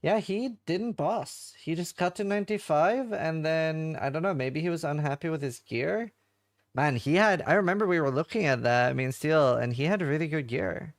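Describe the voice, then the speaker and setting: A man's voice: on the high side, moderate pace, no room sound, studio quality. A man hosting a casual post-show interview, asking upbeat questions with many fillers. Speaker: show host.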